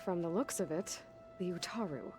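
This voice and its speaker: An actress playing a guarded, determined young warrior heroine in a video game, reserved and matter-of-fact. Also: female voice, on the low side, expressive, normal speed. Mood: dry, friendly. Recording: music under the voice.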